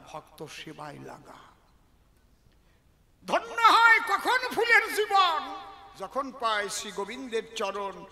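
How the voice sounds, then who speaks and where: A man delivers devotional folk verse in a very high, bright voice. He moves slowly and theatrically through his rhymes, turning to listeners rhetorical questions. Long hall reverb trails his words. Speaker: kobigaan folk poet-singer and religious storyteller. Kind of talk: devotional performance in rhymed verse, half spoken, half declaimed to an audience.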